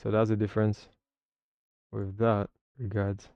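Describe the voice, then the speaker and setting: A man's voice: lowish voice, flat delivery, a little slow, creaky, darkish tone, smooth, no room sound, dull audio. A man hosting a livestream, talking casually as he comments and explains as a learner. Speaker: livestream host learning air traffic control.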